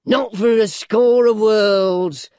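A gleefully malicious dwarf, high-pitched and taunting. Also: male voice, expressive, no echo, clean studio sound, exaggerated voice. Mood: sly, taunting. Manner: mock-courteous, taunting, drawn-out emphatic phrasing. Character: grotesque malicious dwarf husband, gleefully mocking.

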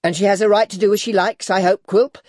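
An indignant old storybook mother-in-law, put on by a man, high in pitch and huffy. She pushes her challenges quarrelsomely, with some fear underneath. The recording is dry. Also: brisk, dry recording. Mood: nervous defiance.

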